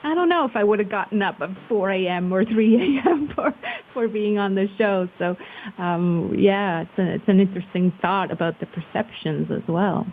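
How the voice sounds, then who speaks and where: A woman's voice: medium pitch, normal speed. A woman from Switzerland speaking as an interview guest, thoughtful and hesitant in casual conversation. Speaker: interview guest, marketing professional. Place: remote call. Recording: muffled phone-like line with hiss.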